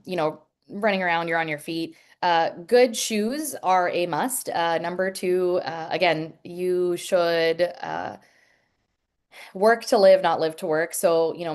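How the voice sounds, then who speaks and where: Earnest nurse woman on a video call. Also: lowish voice. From Pakistani heritage. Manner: earnest, frank, many ums and restarts. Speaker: emergency room nurse. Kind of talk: answering questions on a video call.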